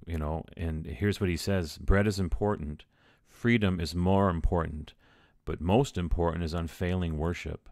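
A low-pitched priest delivers a teaching, reading passages aloud before putting them in his own words. His deep voice sits close to the mic in a dry, dead room. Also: a little mellow, dry recording. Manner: reads passages then explains in plain words, with fillers.

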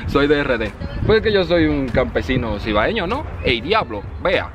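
A man's voice: mid-range voice, moderate pace. A man in his thirties from the Dominican Republic with a Cibao accent, chatting casually with viewers while answering comments outdoors in a park. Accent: Dominican, Cibao region. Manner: lively and chatty, often addresses viewers as "señores". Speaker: vlogger, channel host. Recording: outdoor noise behind voice.

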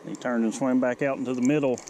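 A man's voice: level tone, fast, mellow, a little hiss or hum. Laid-back man narrating his river fishing. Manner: low-key, talks to himself while reeling in fish. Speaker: angler filming his catch. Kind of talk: casual fishing commentary. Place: outdoors, standing in a shallow river. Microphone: head-mounted action camera.

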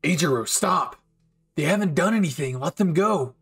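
A man voicing a desperate, gruff anime hero who pleads in a strained way for a loved one in a fan roleplay. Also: fairly high pitch, animated, moderate pace, fairly bright tone, exaggerated voice. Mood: urgent.

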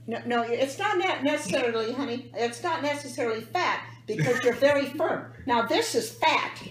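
A very old woman, in her nineties, talks in a high voice that swoops up and down. She is blunt and salty, cursing her way through everyday chat. The sound is a roomy phone recording from a living room. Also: lively intonation, normal speed. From United States. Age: mid-nineties. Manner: feisty, blunt, swears freely. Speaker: grandmother filmed for family social clips. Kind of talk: casual home chat. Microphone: phone camera a few feet away. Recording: roomy, echoing home phone video.

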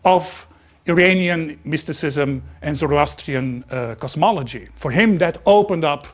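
A middle-aged Belgian man, speaking English as a second language, lectures with a somewhat high voice. His delivery is reflective and emphatic, as though he is gesturing broadly at the audience in a small meeting room, caught by a camera set well back. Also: measured pace. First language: not English.

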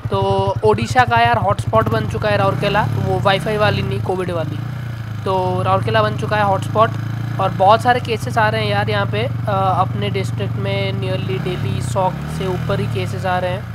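A man's voice: high-pitched, little pitch movement, normal speed, very noisy. A young man from Odisha, India, chatting casually with many fillers while riding a motorbike outdoors. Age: young adult. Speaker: vlogger. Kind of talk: casual motovlog commentary.

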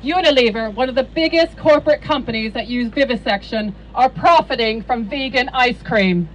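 High female voice, rally speaker, indignant, emphatic, rhetorical, handheld mic outdoors. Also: normal speed, a little hiss or hum, low-quality recording. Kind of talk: impassioned rally speech read from notes. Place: outdoor stage before a crowd. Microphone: handheld microphone.

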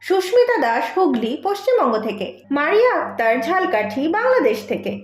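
Lady announcer reading out a scripted list, high lively voice, echoey. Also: fairly quick. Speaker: quiz announcer. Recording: roomy, echoey voice-over.

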